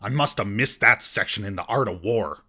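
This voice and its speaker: A man's voice: fairly low pitch, moderate pace, pushed and bright. A man hosting a scripted comedic film recap in a sarcastic, mocking manner. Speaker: comedic film reviewer and host.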